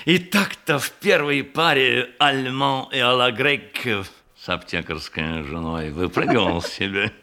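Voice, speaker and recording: An actor playing a boastful, jolly hussar nephew in a theatrical verse radio comedy, hearty and blustering, full of exclamations. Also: male voice, medium pitch, unhurried, sharp tone. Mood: boastful nostalgia.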